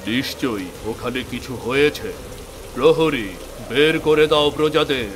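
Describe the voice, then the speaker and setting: Man playing a commanding mid-pitched king. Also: unhurried, background noise, natural voice. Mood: stern, commanding. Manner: authoritative. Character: commanding, stern fairy-tale king, father of a prince.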